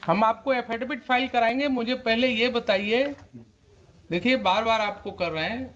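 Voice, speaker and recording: A man's voice: extremely high pitch, steady tone, moderate pace, a bit bright. A man with an Indian accent speaking as a judge in court, stern and admonishing, asking pointed rhetorical questions and mixing Hindi with English. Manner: switches between Hindi and English. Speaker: judge presiding over a court hearing. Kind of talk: courtroom questioning and oral directions. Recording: muffled courtroom audio, faint noise, clicks.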